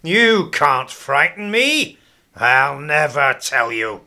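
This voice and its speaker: An amateur actor playing a defiant, jaunty heroic outlaw, declaiming in a comic radio play. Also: male voice, on the high side, very animated, slow pace, creaky, bright, forceful tone, full character voice.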